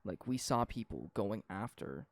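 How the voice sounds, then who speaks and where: A man's voice: fairly low pitch, animated, unhurried, no room sound. A nineteen-year-old man from Canada, co-hosting a podcast in casual, profane, rambling opinion chat. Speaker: podcast co-host.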